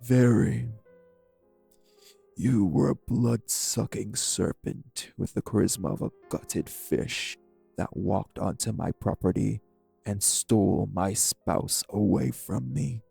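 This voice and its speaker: A man playing a refined, bitter, possessive vampire sire in an audio drama, with formal, deliberate, menacing diction. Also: lowish voice, gravelly, dark tone, breathy edge, no echo, faint music behind, heavily acted. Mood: bitter, jealous. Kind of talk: dramatic audio roleplay acting.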